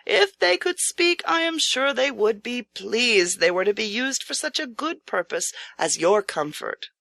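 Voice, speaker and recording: Ruined but proud samurai farmer for an audiobook female reader: bright tone, courteous formal delivery, dry close sound. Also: fairly high pitch, expressive, measured pace, gravelly, no room sound, heavily acted. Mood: resigned. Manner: earnest, courteous, formal old-fashioned speech. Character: impoverished proud samurai disguised as humble farmer host. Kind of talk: audiobook character acting.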